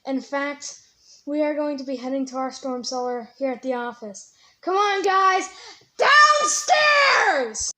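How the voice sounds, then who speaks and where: Woman playing radio anchor, high voice, urgent announcer delivery, emergency radio drama. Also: lively intonation, slow pace, sharp tone, exaggerated voice. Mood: grave, urgent, then panicked. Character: male radio news anchor keeping composure in emergency.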